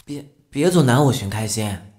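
A young man playing a shy, hesitant, earnest high school boy in an amateur audio drama. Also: lively intonation, normal speed, darkish tone, echoey room, in a large hall, lightly acted. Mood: bashful, hesitant. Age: young adult.

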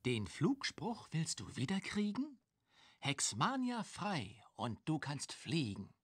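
A magical hint-giver for a children's witch game. The voice is breathy and husky and recites rhymes theatrically, swinging very widely in pitch. It is recorded dry. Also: huge pitch swings, takes it slowly, no room sound, full character voice. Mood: mysterious, instructive.

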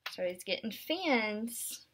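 A woman's voice: a little high, sing-song, slow pace, a bit bright, slight background noise. A woman in her twenties, a kindergarten teacher, reading a picture book aloud expressively and explaining it simply for young children. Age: 20s. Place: small furnished room indoors.

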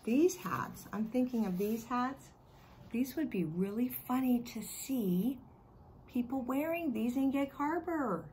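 Kindergarten teacher, American woman in middle age, simple expressive explaining, home recording with slight background noise. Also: on the high side, measured pace, rough recording. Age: middle-aged. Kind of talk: read-aloud and chat to young children. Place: living room at home.

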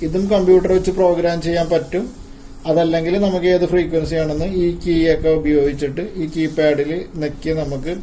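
A man giving an informal hands-on gadget demonstration, haltingly and repeating his phrases. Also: fairly high pitch, warm tone, a little hiss or hum, rough recording. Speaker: tech video presenter.

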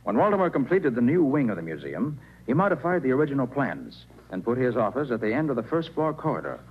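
Male detective, acted, 1940s radio serial: assured, lively, authoritative, muffled noisy recording. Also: mid-range voice, lightly acted. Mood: explaining. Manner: brisk, crisp, authoritative. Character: confident, brisk male private detective in charge.

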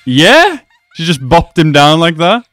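A man's voice: fairly high pitch, big pitch swings, unhurried, fairly bright tone, no echo, faint music behind. A man in his twenties giving slangy, amused reaction commentary in a casual way, close to a desk microphone. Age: 20s. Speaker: reaction video host. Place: small indoor room.

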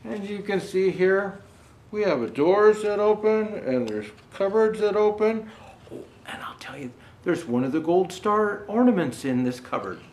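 An older man reads a picture book to children in a fairly high voice with a widely swinging melody. He adds gentle explanations as he goes. The room gives his voice an audible echo. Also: lively intonation, a little slow. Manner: gentle explanatory asides to young viewers. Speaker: storyteller dressed as Santa Claus. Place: indoor room with Christmas decor. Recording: roomy indoor echo.

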